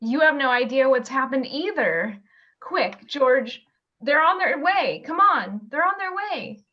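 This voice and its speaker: A woman's voice: high voice, expressive, unhurried. A woman playing an anxious, tender young wife in an amateur radio play, recorded over a video call. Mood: relieved, excited. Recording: muffled video-call audio.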